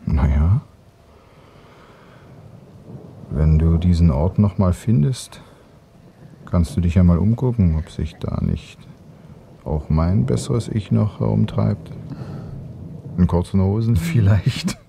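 Tired dry husband in a radio play, really dark soft low voice, few words, understated. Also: male voice, lively intonation, unhurried, vocal fry, a little hiss or hum, exaggerated voice. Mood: wry, resigned. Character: dry, laconic, weary young husband. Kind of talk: German radio play dialogue.

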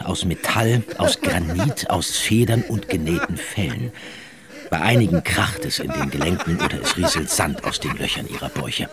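A man narrating a scripted radio drama in a measured storytelling manner. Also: low-pitched.

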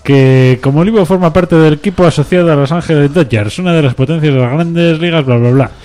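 A man's voice: on the high side, brisk, warm tone. A man, co-hosting a radio show and reading news items in a casual, joking way with frequent laughs. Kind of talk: casual news chat, half read.

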